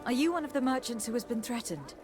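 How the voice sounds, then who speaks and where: A woman's voice: a little high, sing-song, fast talker. A woman playing a firm, formal noble legate questioning people in a video game, in an authoritative manner. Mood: composed, formal. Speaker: game protagonist. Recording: music behind the voice.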